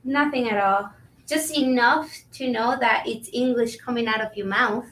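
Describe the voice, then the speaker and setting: High-voiced young restaurant worker, played by a woman, roomy sound. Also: moderate pace, fairly bright tone, slight background noise, lightly acted. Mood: sincere, reflective. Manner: bilingual, switches between Spanish and English. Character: overworked, frank, warm-hearted young Colombian-American restaurant worker. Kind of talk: staged play reading. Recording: roomy, distant microphone.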